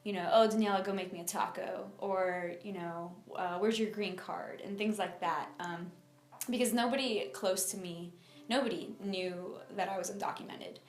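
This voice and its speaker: A young adult woman from Miami telling her personal story in an interview, speaking informally and haltingly with lots of filler phrases. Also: mid-range voice, fairly even intonation, roomy sound. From United States (Miami, Florida). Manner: informal, halting, frequent "like" and "you know".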